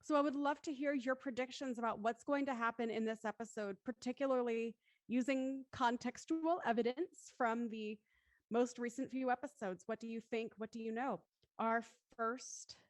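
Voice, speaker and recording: A woman host with a slightly high voice, recorded dry and close. She speaks articulately, presenting to an audience in a livestreamed interview with a cast. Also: normal speed, no room sound.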